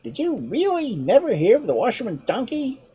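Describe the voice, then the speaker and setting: A woman's voice: fairly high pitch, wildly swooping pitch, normal speed, mellow, breathy edge, pitched above the performer's own voice, heavily acted. A woman voicing a clever, playful male monkey in a storybook reading, with a chirpy, teasing manner. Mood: mocking.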